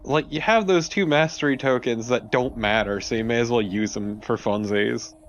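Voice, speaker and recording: A man's voice: mid-range voice, normal speed. A man co-commentating on a video game in casual, wry banter with some swearing. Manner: wry, profane. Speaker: co-commentator of a let's play. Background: game soundtrack music.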